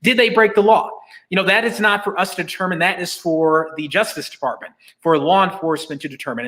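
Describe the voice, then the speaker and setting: A man's voice: a little high, pushed and bright. A man hosting a virtual press conference, delivering a prepared public statement in an emphatic way. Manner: emphatic, uses rhetorical questions. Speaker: host and moderator of a press conference.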